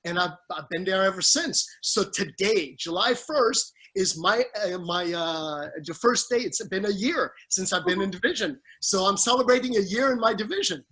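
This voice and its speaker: A middle-aged man from the Caribbean with a high, bright voice and a husky edge, answering questions over a video call. He talks earnestly, restarting sentences and leaning on fillers as he explains his work. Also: lively intonation, normal speed, few pauses. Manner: earnest, warm toward family, speaks with restarts and fillers. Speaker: family law judge.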